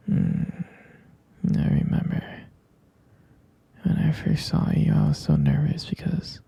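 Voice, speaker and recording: A man playing a sleepy, affectionate half-vampire boyfriend in an intimate ASMR roleplay, murmuring softly and haltingly in a breathy, whispery voice. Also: fairly high pitch, muffled recording, full character voice. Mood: tender, reminiscing. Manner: drowsy, halting, murmured endearments.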